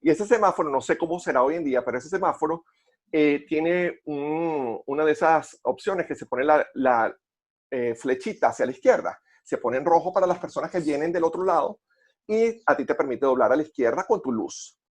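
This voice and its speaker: A man with a Venezuelan accent telling personal stories conversationally, haltingly repeating words as he searches for phrasing. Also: on the high side, expressive, husky. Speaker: podcast co-host.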